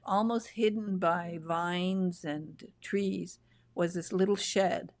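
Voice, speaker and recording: A woman host with a slightly low voice on a close, dry mic. She chats effusively and drifts into fond memories as she asks questions. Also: steady tone, slow pace, a little mellow, no echo. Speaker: show host. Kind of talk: casual interview chat. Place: home in Texas. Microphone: video call.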